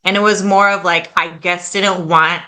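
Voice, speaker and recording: A woman in her late twenties chatting as a podcast guest, her voice slightly low-pitched yet very bright and forward. She talks loosely and casually, scattering "like" and "kind of" through her sentences. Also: fairly even intonation, normal speed. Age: late 20s. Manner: chatty, fillers like "like" and "kind of", laughs easily. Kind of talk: casual interview chat.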